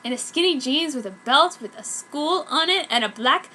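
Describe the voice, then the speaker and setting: A woman reads a story aloud in a theatrical, exaggerated amateur style. Her voice is very high and bright. There is faint noise in the recording. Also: animated, moderate pace. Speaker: fan fiction reader. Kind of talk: dramatic amateur story reading.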